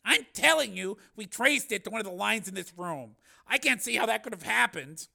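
A man's voice: sits high, expressive, normal speed, gravelly, pushed and bright, voice pushed up, full character voice. A man voicing a baffled, defensive male technician in an audiobook, sounding plaintive and bewildered.

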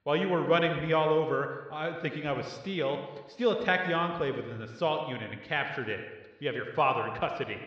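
A man voicing a terse, controlled intelligence agent, firm and measured, through an amplified public-address effect with echo. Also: on the high side, recorded from a distance. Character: terse, controlled male intelligence agent bluffing under pressure. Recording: muffled, echoing loudspeaker in large hall.

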